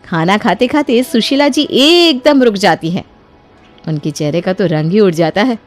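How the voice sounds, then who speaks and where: A woman narrating a scripted story in an expressive storytelling manner. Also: medium pitch, normal speed. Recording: music behind the voice.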